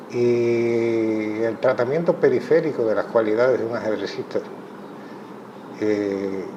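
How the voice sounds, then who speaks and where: A Cuban man, a chess coach, giving thoughtful interview answers in a measured way with frequent pauses. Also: medium pitch. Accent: Cuban Spanish. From Cuba. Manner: measured, thoughtful, many hesitations. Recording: muffled audio with clear background noise.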